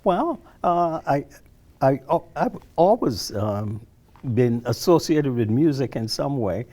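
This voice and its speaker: An elderly man from Barbados, a retired guest in casual conversation, reminiscing haltingly and often repeating words as he goes. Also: mid-range voice, sing-song, mellow. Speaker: interview guest, retired social worker.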